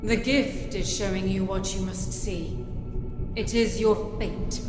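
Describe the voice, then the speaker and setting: An actress voicing a calm, cryptic hooded female emissary who speaks slowly and refers to herself as "we". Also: medium pitch, close to an everyday voice. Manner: slow, measured, speaks as "we". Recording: echoey, distant, with loud music.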